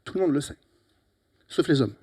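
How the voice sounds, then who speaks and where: A middle-aged man from Italy with an Italian accent, giving a lively, teasing closing talk at a conference on a handheld mic, with sudden loud emphatic bursts. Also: on the high side, moderate pace, breathy edge. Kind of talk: lively, joking conference speech. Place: conference hall. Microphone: handheld microphone.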